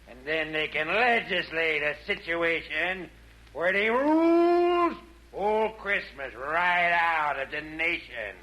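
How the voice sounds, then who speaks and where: Casting: folksy Southern US schemer, unhurried, piercing tone, vintage 1940s radio villain. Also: male voice, on the high side, expressive, sharp tone, full character voice, rough recording. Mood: folksy, scheming. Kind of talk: 1940s radio comedy acting. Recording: old 1940s radio broadcast.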